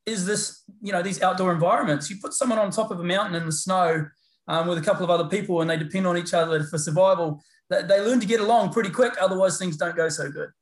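Kiwi guy in his middle years, kinda high for a man, lots of ums and restarts, echoey room. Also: fast. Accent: New Zealand. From New Zealand. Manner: relaxed and rambling, many fillers and restarts. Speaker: guest, paramedicine lecturer. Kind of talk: casual interview chat. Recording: roomy echo.

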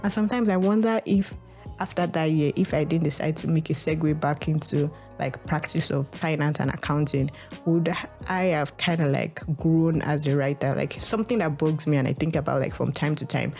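A woman's voice: low voice, steady tone, moderate pace, darkish tone, soft background music. A young Nigerian woman with a Nigerian English accent, hosting a podcast in a casual, reflective monologue, full of "like" and restarts. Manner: rambling, heavy use of "like" and restarts. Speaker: podcast host.

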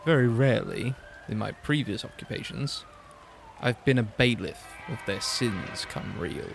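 A man's voice: medium pitch, expressive, measured pace, creaky. A man playing a world-weary noir detective, narrating his cases in a brooding, wry, literary monologue. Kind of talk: hardboiled noir scripted monologue. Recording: city sirens and traffic behind. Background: sound design of city street with sirens.

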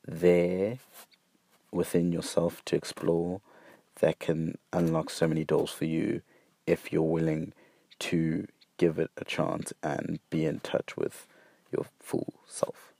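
A man's voice: deep voice, steady tone, slow pace, creaky, mellow. A young man hosting his own podcast, talking earnestly and hesitantly, stumbling over repeated words and fillers. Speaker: podcast host. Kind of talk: reflective solo podcast monologue.

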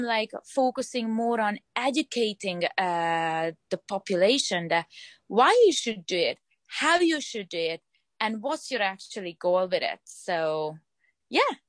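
A woman's voice: medium pitch, dry recording. A woman in her late twenties from Hungary with a Hungarian accent, a fitness trainer answering interview questions earnestly, often searching for words and repeating phrases. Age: 20s.

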